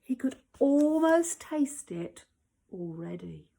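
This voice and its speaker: A primary school teacher reading a children's story aloud at home, expressive, dropping to whispers for the suspenseful parts. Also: female voice, sits high, slow, vocal fry.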